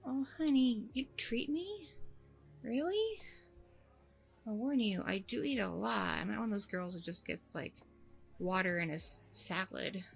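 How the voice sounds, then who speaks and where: Husky, creaky-voiced flirty single mom, acted by a woman. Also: medium pitch, lively intonation, a little slow, soft background music, exaggerated voice, rough recording. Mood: surprised, pleased. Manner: hesitant, gushing, apologetic, chatty. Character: friendly, flustered, flirty single mom on a first date. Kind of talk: ASMR roleplay acting, one side of a dialogue.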